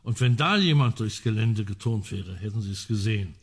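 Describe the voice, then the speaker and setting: A well-informed amateur detective in a radio crime play. His voice sits a little low. He lays out details drily and precisely, with an ironic edge. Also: lively intonation, a little fast, husky. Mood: matter-of-fact. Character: well-informed male amateur sleuth, dry and matter-of-fact. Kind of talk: naturalistic radio crime drama acting.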